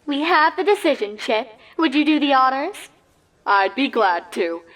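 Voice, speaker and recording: A woman voicing an excitable pony sports announcer with hyped, showy broadcast delivery in a comedic story reading. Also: high voice, unhurried, pitched above the performer's own voice, low-quality recording. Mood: upbeat, showy.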